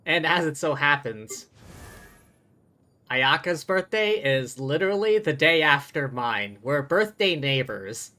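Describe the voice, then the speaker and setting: A man's voice: a little high, lively intonation, takes it slowly, pushed and bright. A man livestreaming a game with casual commentary, chatty and thinking aloud. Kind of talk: casual live stream commentary.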